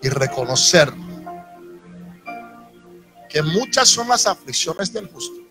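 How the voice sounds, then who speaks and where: A man's voice: on the high side, very animated, takes it slowly. A man in his thirties with a Dominican accent, preaching a sermon to a congregation on a handheld mic. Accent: Dominican Spanish. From Dominican Republic. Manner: preaching with rising emphasis and audience asides. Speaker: pastor. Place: church sanctuary with congregation. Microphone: handheld microphone. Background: soft instrumental music.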